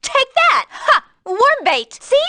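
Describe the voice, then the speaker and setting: A woman's voice: very high-pitched, sing-song, takes it slowly, pushed and bright, no echo, clean studio sound, exaggerated voice. A woman voicing a mischievous, cheeky little girl bomber in a video game, perky and sassy. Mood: gleeful mischief. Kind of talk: video game battle voice lines.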